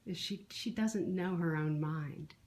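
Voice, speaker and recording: Woman interviewer asking halting questions. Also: fairly low pitch, darkish tone.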